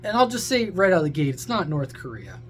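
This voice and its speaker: A man's voice: on the high side, huge pitch swings, quick pace, a little hiss or hum. A man giving a casual, opinionated rant to his viewers, blunt, sarcastic, crude and exasperated. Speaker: social media creator and CB radio hobbyist.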